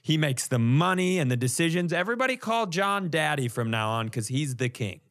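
A man's voice: on the high side, brisk. A man telling stories in a casual, comic podcast style, acting out the lines he quotes as he goes. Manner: animated, acts out quoted lines. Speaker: comedy podcast co-host.

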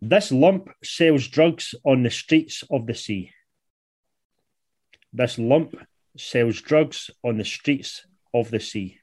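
A man's voice: medium pitch, expressive, takes it slowly, long pauses, a little mellow, breathy edge. A man in his late twenties with a Scottish accent, hosting a quiz in casual football podcast banter. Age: late 20s. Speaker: podcast producer and quiz host.

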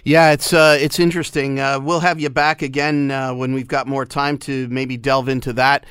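A man hosting a radio talk show in a studio, chatty and excitable, with lots of fillers. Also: medium pitch, normal speed, smooth, dry recording. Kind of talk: casual radio chat.

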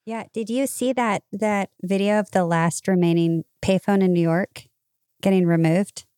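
A woman's voice: mid-range voice, steady tone, normal speed, mellow, clean tone, no room sound, clean studio sound. A woman co-hosting a podcast in casual chat, with a blunt, emphatic way of talking. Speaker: podcast host.

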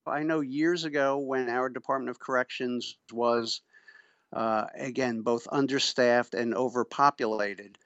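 Middle-aged man, mid-pitched voice, interview guest, formal, measured, emphatic explanations, slightly lo-fi audio. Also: steady tone, a bit bright, no room sound. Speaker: interviewee, pretrial services official and former prosecutor. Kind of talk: explanatory radio interview answers.

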